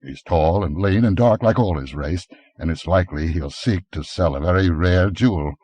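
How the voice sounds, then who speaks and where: A man reading an audiobook, voicing a brawny barbarian adventurer who speaks in a gruff, commanding way, a little higher and louder than his narration. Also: moderate pace, no room sound, muffled recording.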